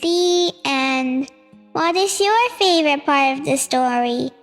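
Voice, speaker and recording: Very high, childlike storybook reader in reverb. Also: takes it slowly, a bit bright. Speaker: storytime host reading aloud. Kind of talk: read-aloud children's storybook narration. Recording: reverberant hall sound with music. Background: music.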